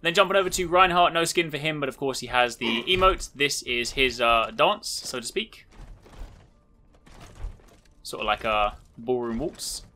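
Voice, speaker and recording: Male gaming video host, very bright forceful tone, casual commentary. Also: medium pitch, animated, a little slow, faint music behind. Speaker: video host showcasing game cosmetics.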